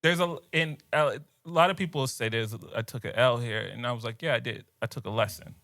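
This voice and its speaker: A man's voice: medium pitch, fairly quick, creaky, dry recording, studio quality. A young man, co-hosting a podcast and telling a story in casual chat, laughing often and dropping his voice when he quotes people. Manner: animated, laughs often, drops to quiet voices when quoting others.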